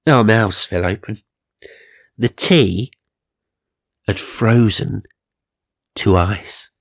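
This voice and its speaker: Low-voiced man reading comic ghost stories. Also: extremely slow, vocal fry, no room sound, clean studio sound. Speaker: narrator and host. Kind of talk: comic reading of supernatural magazine stories with wry asides.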